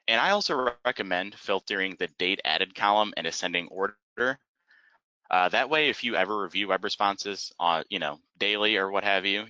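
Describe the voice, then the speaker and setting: A man narrating an instructional webinar as a software trainer, explaining methodically step by step in a close, dry, slightly dull recording. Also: deep voice, dry recording.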